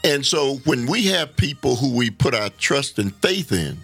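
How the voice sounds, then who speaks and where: A man speaking earnestly as a guest in a casual conversation, halting and repeating words as he builds his point. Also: medium pitch, very animated, gravelly, fairly bright tone, slightly rough, faint music behind.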